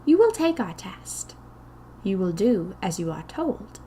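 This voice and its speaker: Woman acting a stern, slow-speaking small cult mistress. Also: mid-range voice, sing-song, dark tone, slight background noise. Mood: imperious, solemn. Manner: commanding, formal, ceremonious. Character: small, stern schoolmistress-like woman leading sinister magical society. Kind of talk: audio fiction storytelling.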